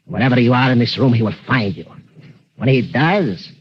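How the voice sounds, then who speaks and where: An actor playing a gloating, theatrical mad inventor villain in a vintage radio drama, sneering and cackling. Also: male voice, mid-range voice, animated, moderate pace, husky, dull audio. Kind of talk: 1940s radio acting. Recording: scratchy old radio broadcast.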